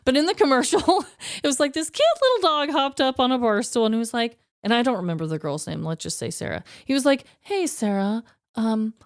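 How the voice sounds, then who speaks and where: A woman's voice: fairly high pitch, lively intonation, fairly quick, dry recording, clean studio sound. An American woman co-hosting a podcast, chatting casually and telling anecdotes. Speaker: podcast co-host. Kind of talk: casual chat, animated anecdotes.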